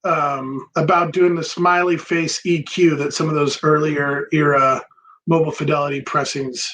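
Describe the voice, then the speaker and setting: A man hosting a casual chat about records, relaxed and rambling with many pauses and ums. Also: fairly high pitch, slight background noise. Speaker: record store host.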